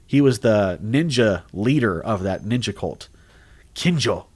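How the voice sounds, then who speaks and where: A man who narrates horror stories, chatting casually on a livestream, rambling and animated, with lots of restarts. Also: fairly low pitch, measured pace, husky. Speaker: horror story narrator and streamer. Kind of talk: casual livestream chat reading comments.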